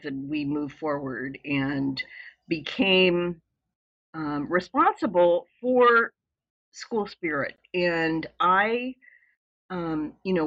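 A woman in her seventies from Southern California, telling a personal story as a podcast guest in a loud, exuberant way. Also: on the low side, no echo, lo-fi sound. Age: 70s.

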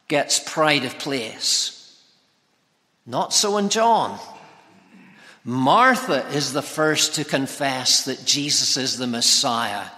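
A man preaching an expository sermon, speaking with emphatic, deliberate phrasing. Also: medium pitch, bright, forceful tone. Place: large reverberant church or hall. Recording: hall reverb.

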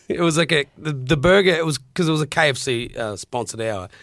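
A man's voice: fairly high pitch, expressive, a little fast, a bit bright, no room sound, clean studio sound. A young adult man with a strong New Zealand accent, hosting a casual podcast in a laid-back, mumbly way, laughing often. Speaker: podcast host.

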